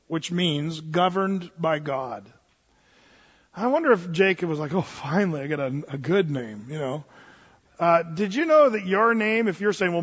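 A man's voice: high-pitched, fairly bright tone, husky. A male pastor preaching a sermon in a conversational way, with joking asides and acted-out quoted voices. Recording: dull sound with long hall reverb.

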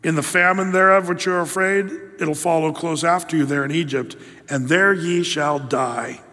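High-voiced man preaching in a hall. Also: normal speed. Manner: emphatic, acts out quotes in lively voices. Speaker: pastor. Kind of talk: sermon with scripture reading.

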